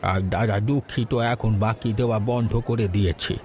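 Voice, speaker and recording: A man's voice: lowish voice, steady tone, fairly quick, darkish tone, lightly acted. A man playing an apologetic but firm village shopkeeper in a cartoon story, explaining himself politely and with regret.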